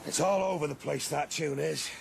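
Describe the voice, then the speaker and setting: A man's voice: fairly high pitch, normal speed, gravelly, a little hiss or hum. A man playing a blustering, suspicious farmer with a rural English accent in a radio drama. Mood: grumbling. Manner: rustic, emphatic, grumbling.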